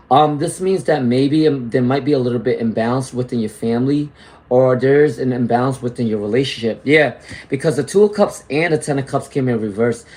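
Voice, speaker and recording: A man doing a live tarot reading at a middling pitch. He talks to his viewers directly, greets people from the chat, and keeps checking in with "all right?" and "okay?" as he goes. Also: darkish tone, rough recording. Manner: reads out viewer comments and greets chatters. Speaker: tarot reader.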